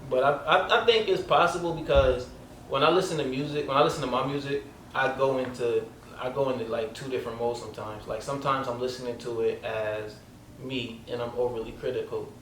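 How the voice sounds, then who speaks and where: A man's voice: mid-range voice, steady tone, brisk, fairly bright tone. A man with a light voice hosting a casual interview, talking loosely with lots of slang and "like" fillers. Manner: loose and rambling, heavy on filler "like" and street slang. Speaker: podcast host. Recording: echoey room, mic at a distance, noisy background.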